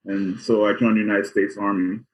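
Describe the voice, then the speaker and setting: A man's voice: lowish voice, level tone, fairly quick. A man in his forties from Guyana, a doctor telling his life story in a plain, matter-of-fact way as an interview guest. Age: 40s. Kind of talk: casual interview answers. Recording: dull lo-fi audio.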